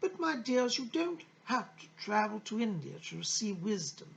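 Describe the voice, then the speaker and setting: A woman's voice: medium pitch, very animated, moderate pace, gravelly, muffled recording. Woman channelling Rose, an effusive, swooping lady spirit. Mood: earnest, encouraging. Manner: theatrical, gushing, genteel, endearing address. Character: Rose, effusive, motherly female spirit from the early 1900s.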